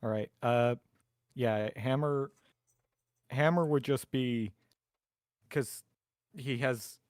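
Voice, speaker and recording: A man's voice: mid-range voice, slow pace, darkish tone, clean tone, dry recording, clean studio sound. A man playing a tabletop roleplaying game, chatting casually and jokingly about his character.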